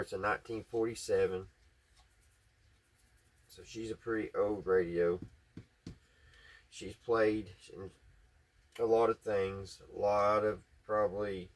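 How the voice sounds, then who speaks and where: Low-voiced man, folksy repair vlogger. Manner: folksy, musing aloud to himself. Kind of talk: casual hands-on repair commentary.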